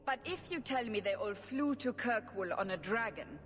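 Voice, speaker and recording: A woman's voice: a little high, animated, normal speed, big-room sound, a little hiss or hum, close to an everyday voice. An actress playing a suspicious female interrogator who speaks curtly and demandingly. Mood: stern. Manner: curt, demanding.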